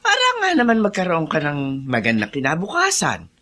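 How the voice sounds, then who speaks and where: Low, animated older housekeeper. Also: female voice, a little fast, full character voice. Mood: gentle, encouraging. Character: kindly older female plantation housekeeper, chatty and encouraging. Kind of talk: Filipino radio drama acting.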